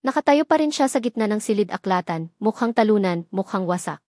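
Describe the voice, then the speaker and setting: A woman's voice: medium pitch, animated, brisk, darkish tone, dry recording, clean studio sound. A woman reading a dramatic first-person story as a scripted narration.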